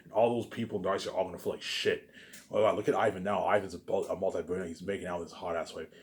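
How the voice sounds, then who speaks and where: A man delivering a rambling, profane, boastful monologue to online viewers, circling back and repeating his phrases, with sudden louder bursts. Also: sits low, steady tone, rapid-fire. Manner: repetitive, restarting phrases over and over.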